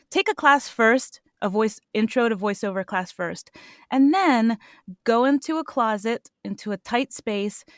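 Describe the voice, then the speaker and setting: A woman voice actor answering interview questions in an earnest, animated way, laughing now and then. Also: medium pitch, no echo, studio quality. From United States. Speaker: voice actor guest.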